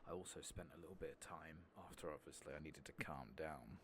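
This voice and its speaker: A man giving soft-spoken, often whispered ASMR game commentary in a casual, rambling murmur, as if half talking to himself. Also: a bass voice, fast talker, slight background noise. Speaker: streamer playing an online fantasy game.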